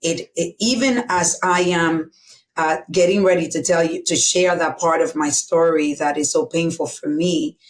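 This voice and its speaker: Low-voiced Dominican woman telling her story. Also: moderate pace, slight background noise. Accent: Dominican Spanish. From Dominican Republic. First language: Spanish. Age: middle-aged. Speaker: guest. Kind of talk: candid personal storytelling.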